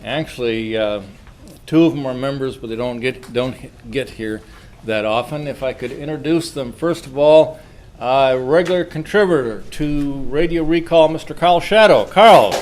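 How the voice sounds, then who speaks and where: A man's voice: mid-range voice, darkish tone. Folksy man addressing a meeting, background noise. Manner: folksy, halting, with self-interruptions and repeats. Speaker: club president hosting a meeting. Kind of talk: informal meeting address to a club audience. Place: indoor club meeting room. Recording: noisy live meeting room recording.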